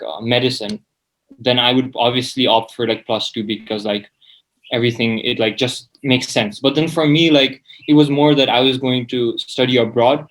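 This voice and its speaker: A man's voice: mid-range voice, fairly bright tone, low-quality recording. Casual young man, hesitant and informal. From Nepal. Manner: hesitant, informal, peppers speech with "like". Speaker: student guest. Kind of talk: casual interview chat.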